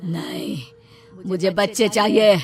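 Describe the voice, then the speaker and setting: A woman playing a vengeful witch ghost in a cartoon horror story, menacing and taunting, with drawn-out words and cackles. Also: mid-range voice, steady tone, reverberant, distant mic, faint music behind, natural voice. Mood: bitter, vengeful.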